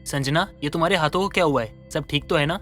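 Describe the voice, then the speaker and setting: A man's voice: fairly high pitch, fast talker, bright, forceful tone, faint music behind. A man voicing a caring, worried young husband in a moral story, questioning with concern. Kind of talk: Hindi moral story voice acting.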